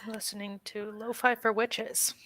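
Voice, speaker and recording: Brief: young woman, bright tone, dry close sound, excitable, full of "like.". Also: on the high side, moderate pace, dry recording. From Minnesota. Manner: lots of "like", excitable, laughs easily. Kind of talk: casual chat.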